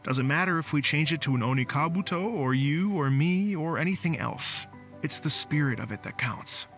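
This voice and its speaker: An easygoing rock festival showman in a video game. His voice sits fairly high and is very bright and forward. He sounds upbeat, with music playing faintly behind him. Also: lively intonation, normal speed. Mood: earnest.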